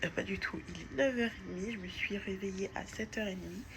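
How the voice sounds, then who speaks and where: A woman's voice: medium pitch, steady tone, fast, gravelly. A woman vlogging at home on her phone, chatting casually with a stuffy nose from a cold and lots of fillers and restarts. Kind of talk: casual diary vlog. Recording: noticeable background noise.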